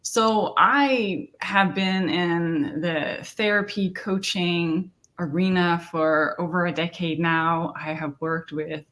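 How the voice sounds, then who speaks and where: A woman's voice: on the low side, steady tone, slow, few pauses, fairly bright tone. A middle-aged woman from Denmark, a therapist and coach speaking earnestly in an interview and often asking "right?". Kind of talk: conversational interview.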